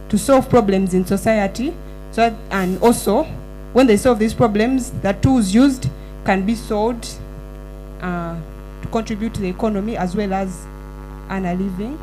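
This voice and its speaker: A woman from Uganda, an engineer and founder, giving an earnest startup pitch with small slips and self-corrections. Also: dark tone, rough recording. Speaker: entrepreneur pitching at a summit.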